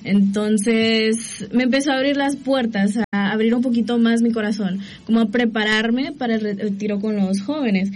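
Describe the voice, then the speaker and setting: A young woman from El Salvador, soft-spoken and earnest, telling her story as a guest. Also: a little high, steady tone, moderate pace, hardly any pauses. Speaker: youth group coordinator. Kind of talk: casual interview answers.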